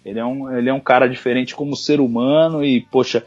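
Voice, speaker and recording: A man's voice: medium pitch, steady tone, fast talker, a little mellow, no echo. A man, a journalist guest on a podcast, chatting casually and jokingly, with restarts as he thinks. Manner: thoughtful, joking, with filler restarts. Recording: muffled lo-fi audio.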